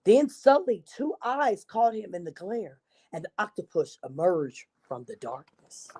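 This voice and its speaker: Slow, mellow woman storyteller. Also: mid-range voice, slightly rough. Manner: expressive, with asides to young listeners. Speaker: children's storyteller. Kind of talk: read-aloud storytelling for young children.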